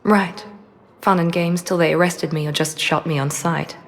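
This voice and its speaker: Low-voiced, guarded woman operative played by an actress. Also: fairly even intonation, moderate pace, long reverb tail, natural voice. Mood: resolute. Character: poised, guarded former operative woman, quietly vulnerable. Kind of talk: dramatic video game acting.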